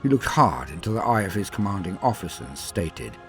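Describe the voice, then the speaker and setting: A man narrating scripted stories in a dramatic, solemn and measured way, with emphatic swells and falling sentence endings. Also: low voice, big pitch swings, fairly bright tone. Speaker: narrator and host of a fan fiction lore channel. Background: music.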